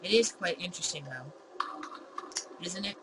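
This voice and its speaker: A woman's voice: fairly low pitch, lively intonation, moderate pace, lightly acted. A woman voicing a bewildered, argumentative man in a visual novel, with an indignant, pedantic and sarcastic manner. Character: bewildered, argumentative man facing his own double. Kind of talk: visual novel character read-aloud. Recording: clear background noise.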